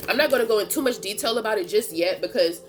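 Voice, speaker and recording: A woman's voice: mid-range voice, lively intonation, fast, faint music behind. A woman with a stuffy nose from a cold, chatting casually in as she gives a tarot reading, slangy and laughing often. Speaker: tarot reader and channel host.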